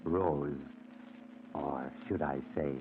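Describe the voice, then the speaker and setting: An actor playing an ominous storyteller, narrating a 1940s radio mystery in a deliberate, dramatic way. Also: male voice, a bass voice, steady tone, full character voice. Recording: noisy, tinny old radio recording.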